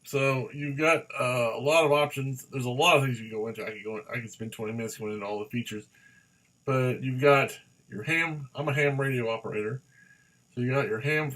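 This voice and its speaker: Male product reviewer, mid-pitched, casual and unscripted, halting delivery with repeated phrases. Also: fairly even intonation, fairly bright tone, low-quality recording. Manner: halting, rambling, repeats himself. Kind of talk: casual hands-on gadget review.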